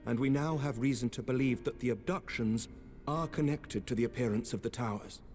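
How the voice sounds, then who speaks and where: A man's voice: mid-range voice, a little fast, darkish tone, natural voice. An actor playing a grave, dignified statesman in a fantasy game, with formal, eloquent delivery. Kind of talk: fantasy video game voice acting. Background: orchestral game music.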